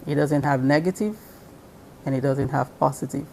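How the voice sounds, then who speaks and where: A woman from Nigeria speaks as an interview guest, explaining patiently in a measured, unhurried way that is full of ums and uhs. Her voice sits quite low for a woman, with a faint hiss of noise behind it. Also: a little mellow. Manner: slow and measured, with frequent um and uh fillers. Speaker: interview guest, spiritual practitioner.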